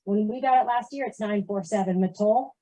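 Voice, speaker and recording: A woman's voice: medium pitch, brisk, warm tone, no echo. A middle-aged woman giving a casual, conversational introduction of herself to a group. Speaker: new cabin owner introducing herself.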